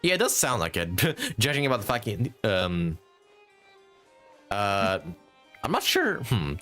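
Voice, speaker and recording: Animated, low-voiced male game streamer. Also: measured pace. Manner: musing, thinking aloud. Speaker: game livestreamer. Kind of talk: casual live gaming commentary. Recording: game music behind.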